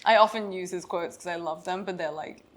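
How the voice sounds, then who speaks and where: A woman's voice: fairly low pitch, brisk. A woman co-hosting a podcast in a casual chat, laughing often as she explains the research she has read. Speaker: podcast co-host.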